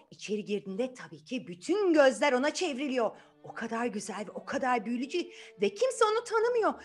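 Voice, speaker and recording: A woman telling a story to children in an animated, interactive way, often asking questions and repeating phrases. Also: high-pitched, slight background noise.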